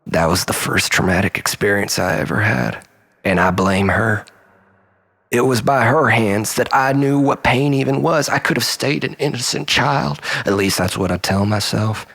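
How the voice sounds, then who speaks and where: A man performing a theatrical first-person monologue as a storyteller, melodramatic, swinging between exclamation and breathy hush. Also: lowish voice, moderate pace.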